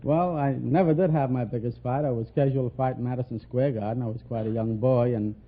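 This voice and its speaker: A man's voice: medium pitch, fairly even intonation, fast. A man answering earnestly and plainly as a contestant on a 1940s radio quiz show. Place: radio studio. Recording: tinny, faintly noisy 1940s radio recording.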